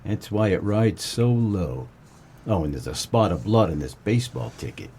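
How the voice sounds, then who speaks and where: A man playing a wisecracking, deadpan 1940s private detective in a comedic noir radio play, with terse, slangy tough-guy delivery and a husky voice. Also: low-pitched, moderate pace, exaggerated voice. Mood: smug, accusing. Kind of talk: comedic noir radio play, first-person narration and dialogue.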